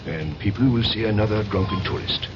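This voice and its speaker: An actor playing a sinister waiter in a radio spy thriller, with clipped, commanding speech. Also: male voice, lowish voice, fairly quick, noisy background, natural voice. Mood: cold, commanding. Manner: clipped, ordering. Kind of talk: old-time radio mystery acting. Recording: dull old radio recording with music.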